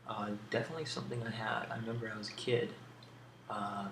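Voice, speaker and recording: A soft-spoken man answering questions in a casual ASMR style, with a hesitant, musing delivery and pauses between thoughts. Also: fairly low pitch, flat delivery, gravelly. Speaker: ASMR video creator answering a question tag. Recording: roomy echo with faint background hiss.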